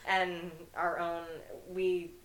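A young woman with a fairly low voice co-hosts a film podcast. She speaks informally and excitably, with a giggly, chatty delivery. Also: drawn-out words, a crowd in the background, low-quality recording. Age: young adult. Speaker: podcast co-host. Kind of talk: casual film review chat. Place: bedroom, indoors.

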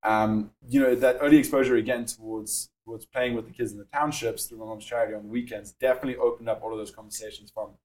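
South African man, young, founder being interviewed, steady explainer, mid-pitch voice. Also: poor audio. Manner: explanatory, measured, with frequent fillers. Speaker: startup founder. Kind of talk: podcast interview.

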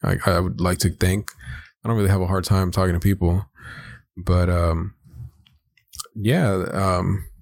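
A man's voice: low-pitched, fairly even intonation, measured pace, vocal fry, pauses often, no echo, clean studio sound. An American man in his twenties talking casually and candidly as a podcast co-host, introducing himself in a reflective way. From South Los Angeles, United States. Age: 20s.

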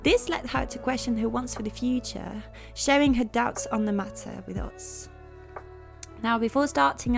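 A woman hosting a podcast, upbeat and quick to laugh while she introduces and interviews her guest. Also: fairly high pitch, creaky, fairly bright tone. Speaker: podcast host.

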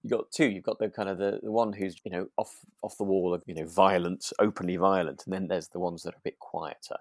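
Low voice podcast host just chatting, kind of halting and rambly, really dry sound. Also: steady tone, no echo. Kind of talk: casual chat reviewing a radio drama.

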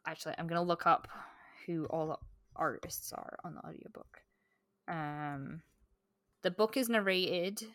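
A woman's voice: on the low side, pushed and bright, no room sound, clean studio sound. A woman co-hosting a podcast in a casual book chat, talking candidly with swearing and frequent self-interruption. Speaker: podcast co-host.

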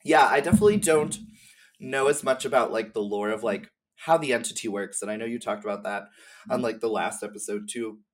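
Halting, informal man chatting as a guest. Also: lowish voice. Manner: halting, informal, peppered with slang and swearing. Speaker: podcast guest. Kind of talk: casual chat about video game lore.

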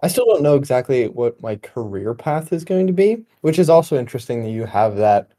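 A man's voice: medium pitch, sing-song, fairly quick, dark tone. A twenty-year-old man chatting casually as a podcast host, in a rambling, wry storytelling manner. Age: 20, young adult. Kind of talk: casual conversational podcast chat.

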